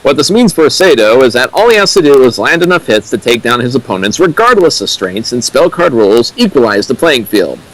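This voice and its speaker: A man's voice: mid-range voice, very animated, a little fast, hardly any pauses, poor audio. A man co-hosting a streamed reading, mixing read-out passages with mocking commentary. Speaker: co-host of a fan fiction reading stream.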